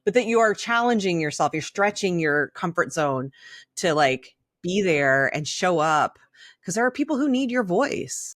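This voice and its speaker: Blunt middle-aged woman, slightly low voice. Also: lively intonation, normal speed, fairly bright tone, no room sound, studio quality. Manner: opinionated, blunt, swears freely. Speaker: podcast co-host. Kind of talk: candid casual conversation.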